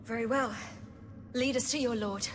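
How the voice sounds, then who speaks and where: An actress playing a composed, brave young swordswoman in a video game, brisk and self-assured. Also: fairly high pitch, fairly even intonation, background noise, lightly acted. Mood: resolute. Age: young adult. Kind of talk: dramatic video game acting. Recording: long reverb, music behind.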